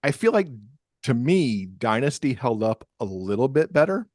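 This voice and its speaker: A man's voice: mid-range voice, sing-song, moderate pace, darkish tone, no room sound, studio quality. A man chatting casually as a podcast guest, talkative and easy to laugh. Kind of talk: casual conversational interview.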